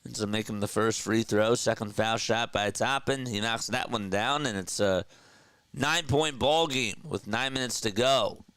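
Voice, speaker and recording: A man with a stuffy nose calling live basketball play-by-play in an energetic, chatty way, talking to his viewers between plays. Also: fairly low pitch, moderate pace, clear, resonant tone, no echo. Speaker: play-by-play caller.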